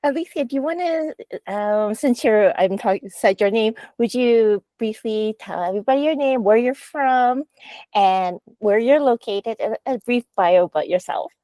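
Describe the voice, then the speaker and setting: A woman moderates a casual podcast panel over a video call. She asks loose, friendly questions full of little fillers. Her voice is mellow and the sound is dry and close. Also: on the high side, moderate pace, dry recording. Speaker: podcast host.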